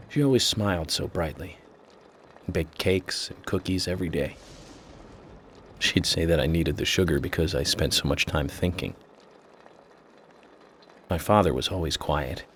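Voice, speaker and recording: An American man playing an eccentric, introspective federal agent in a video game, with a measured, earnest, confiding manner. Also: low-pitched, a little hiss or hum. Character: eccentric, introspective male FBI agent with an imaginary friend. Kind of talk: video game cutscene dialogue.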